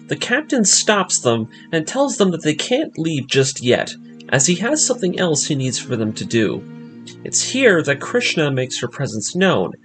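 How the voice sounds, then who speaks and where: A man's voice: a little high, a bit bright. A man reading a scripted story recap as a narrator, with a dramatic storytelling delivery. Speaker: narrator of an anime story breakdown series. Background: music.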